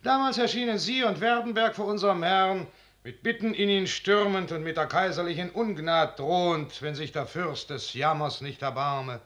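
Old radio drama: blunt, sardonic field marshal, higher-pitched, forceful, declamatory. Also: male voice, normal speed. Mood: sardonic, biting. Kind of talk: 1950s German radio drama verse acting.

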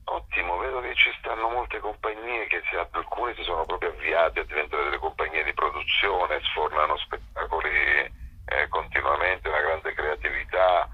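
A man's voice: rumbling low, fairly even intonation, normal speed, husky, highs cut off. A man talking casually and enthusiastically in an interview, in a rambling, colloquial way, as a festival director.

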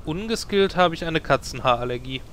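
Man playing a high-voiced, hapless druid. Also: lively intonation, fairly quick, a bit bright. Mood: resigned. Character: hapless, put-upon male druid shapeshifter. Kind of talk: comedic fantasy radio-play acting.

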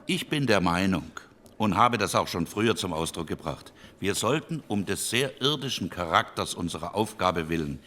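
A male liberal statesman speaks in a deep, highly animated voice. He reasons deliberately and formally, as if in a parliamentary debate. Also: a little hiss or hum. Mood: measured, reasoning.

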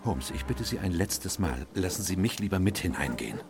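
An actor playing a loyal, worried Victorian gentleman who recounts events in an earnest, measured way for a radio drama. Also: sits low, big pitch swings. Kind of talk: radio drama narration and dialogue. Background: music.

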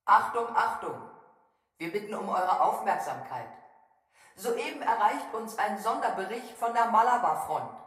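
An actress playing a formal state announcer reading a solemn war bulletin in a radio play, through a reverberant loudspeaker effect. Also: female voice, on the high side. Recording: echoing public-address sound, long reverb.

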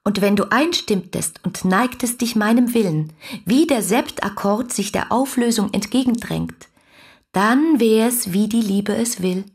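A woman's voice: on the high side, unhurried, husky. An actress playing a fiery, headstrong young woman reading out her adoring letters with impassioned expression in a German radio play. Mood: ardent, insistent. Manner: impassioned, expressive literary delivery.

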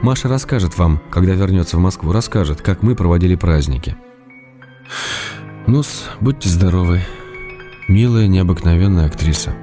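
A man in a radio play voicing an ailing writer who answers an actress's letters with gentle, wry tenderness. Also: deep voice, animated, moderate pace, pauses often, over music. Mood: tender. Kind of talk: radio drama letter reading.

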